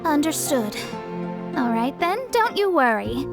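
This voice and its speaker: A woman's voice: high voice, very animated, measured pace, gravelly, over loud music, full character voice. A woman voicing a proud young astrologer mage in a video game, formal and self-assured, with a lecturing manner. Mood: confident, businesslike. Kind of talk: video game character acting.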